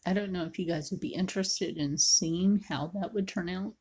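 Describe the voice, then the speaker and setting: A woman in her forties chatting casually to viewers while she draws, often sinking into a low whisper. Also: a little mellow, slightly rough. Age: 40s. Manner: slow, frequently drops into a whisper. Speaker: video creator. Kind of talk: casual livestream chat while drawing.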